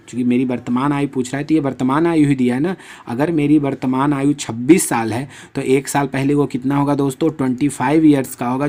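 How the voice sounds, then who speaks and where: A man's voice: mid-range voice, darkish tone. A male maths teacher leading an exam-prep lesson. He works through each problem aloud, asking the class questions and then answering them himself, and keeps turning to the viewers in a friendly way. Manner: tags lines with a friendly address to viewers.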